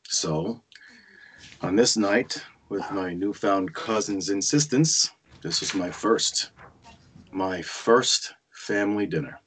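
An actor playing a reflective, awkward nephew narrating his past in a measured, husky way at a screenplay table read. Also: on the low side, a bit bright, a little hiss or hum, poor audio.

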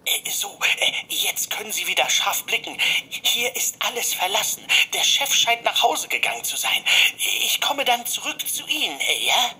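An actor playing a bumbling, flustered office employee in an audio drama, silly and hesitant, through a radio filter. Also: male voice, sits high, big pitch swings, normal speed, sharp tone, breathy edge, heavily acted, poor audio. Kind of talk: German audio drama acting.

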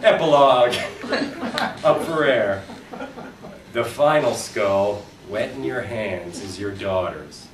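Animated man reading horror in a roomy space. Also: on the low side. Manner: theatrical, deliberate storytelling with wry humour. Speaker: author reading his own fiction. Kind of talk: dramatic live reading of short horror fiction. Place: live reading at a convention, indoors. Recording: roomy, distant live recording with background noise.

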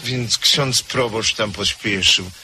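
An actor playing a tired, mocking gentleman in a radio drama, speaking with dry amusement. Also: lowish voice, takes it slowly, exaggerated voice. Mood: sardonic. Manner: mocking, amused, with soft laughs. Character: weary, sardonic older gentleman. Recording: hissy old radio play recording.

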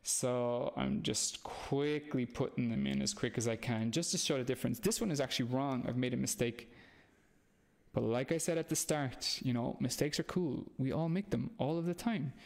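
A man's voice: mid-range voice, moderate pace, gravelly. A man in his thirties with an Irish accent, a comic artist giving a chatty, encouraging live drawing lesson from home while he sketches. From Ireland. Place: small dim room at home, indoors.

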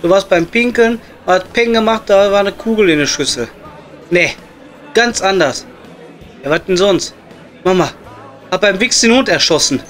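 A man's voice: high voice, lively intonation, moderate pace, long pauses, a bit bright. A man playing a crude, jovial coal miner with a Ruhr German accent in an improvised comedy audio play. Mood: joking, blunt. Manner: blunt, joking, slangy. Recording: music behind the voice.

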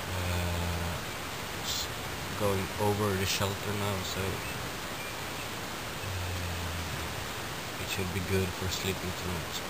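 Young man, low voice, hesitant self-filmed camp vlog, hissy outdoor recording. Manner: hesitant, many ums and uhs, plain. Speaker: bushcraft challenge participant. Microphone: handheld camera, self-filmed. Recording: hissy outdoor camera audio. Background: loud hiss of outdoor noise.

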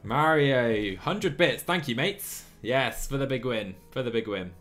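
Bright-voiced young man streaming a game. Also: mid-range voice, measured pace, soft background music. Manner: excitable, chatty, laughs often. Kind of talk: live gameplay commentary and chat reading. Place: small room indoors. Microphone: headset.

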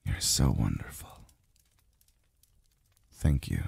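A man playing a calm, devoted male lover in an intimate ASMR roleplay, murmuring softly and slowly, close to the mic. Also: dry recording, full character voice. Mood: loving, grateful. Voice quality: very deep, breathy, gravelly. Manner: slow, soft murmuring with sighs. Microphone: close mic, dry sound.

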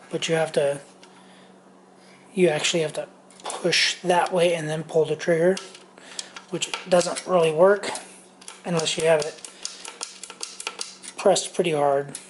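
A man's voice: on the high side, slight background noise. A middle-aged man reviewing a power tool in his home garage workshop, rambling loosely with many ums and restarts. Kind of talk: casual tool review vlog.

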